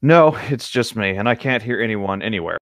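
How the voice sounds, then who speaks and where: A man's voice: lowish voice, animated, brisk, dry recording, clean studio sound. A man chatting casually and reading a novel aloud among friends. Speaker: co-host reading a book aloud for commentary.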